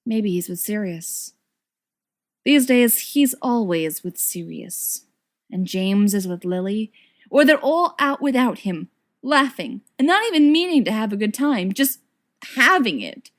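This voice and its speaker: A woman reading a story aloud as an expressive scripted narration. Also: medium pitch, a little slow. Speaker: audiobook reader of fan fiction.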